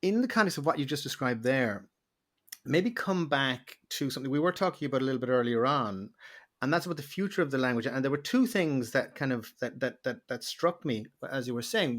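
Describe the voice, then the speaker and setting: Male podcast host, mid-range voice, halting, repeats words over and over. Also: mid-range voice, a bit bright. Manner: stumbling, repeats words many times. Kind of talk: podcast interview.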